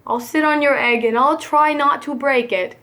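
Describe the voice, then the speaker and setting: A woman voicing a huge, gentle, faithful male elephant from a children's storybook in an earnest, deliberate manner. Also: high voice, steady tone.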